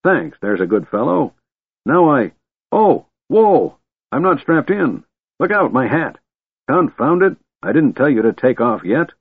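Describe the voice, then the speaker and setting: A man's voice: medium pitch, sing-song, unhurried, husky, dry recording, narrow, tinny audio, pitched above the performer's own voice, full character voice. A man voicing a cranky, scatterbrained old wizard in a fantasy audiobook, blustering and flustered.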